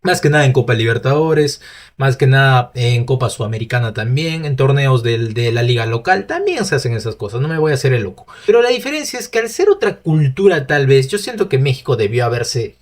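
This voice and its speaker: A man's voice: medium pitch, lively intonation, fairly quick. Peruvian guy ranting about football on his channel, average voice, keeps backtracking mid sentence. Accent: Peruvian Spanish. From Peru. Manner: talkative, restarts often, rhetorical asides. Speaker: football opinion host. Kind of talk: solo opinion commentary.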